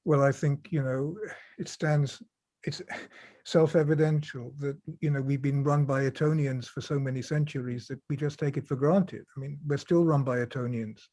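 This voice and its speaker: A man's voice: mid-range voice, fairly even intonation, moderate pace, mellow, dry recording. A man speaking as a panelist over a video call, reflective and repeating words as he works through a thought. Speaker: panelist and writer. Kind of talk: panel discussion.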